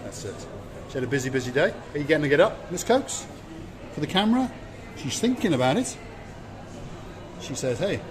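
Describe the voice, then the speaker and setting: A man's voice: a little high, animated, a little fast, long pauses, crowd noise. A middle-aged man from Wales giving a chatty, jokey walking tour of a harbour at night, repeating words for emphasis. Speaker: vlog host. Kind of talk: casual walking tour commentary. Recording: music playing behind.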